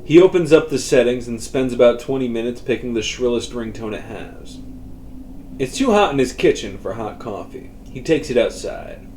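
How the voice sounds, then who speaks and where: A man narrates fiction in an informal, sweary storytelling manner, at a medium pitch. The reading sounds like an audiobook made at home, with clear noise and ambience behind the voice. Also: lively intonation, moderate pace, a little mellow. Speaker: author reading own story.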